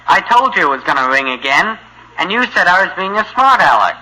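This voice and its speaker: A smart-mouthed, excitable American teenage boy from 1950s radio comedy. The voice is high and very bright, bouncing around in pitch on an old broadcast recording. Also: brisk, exaggerated voice, poor audio. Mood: cheeky.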